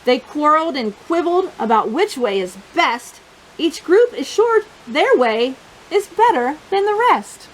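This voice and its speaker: A woman hosting a children's storytime, reading a picture book in a playful, sing-song, expressive way and talking directly to young listeners. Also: high-pitched, a little slow, rough recording.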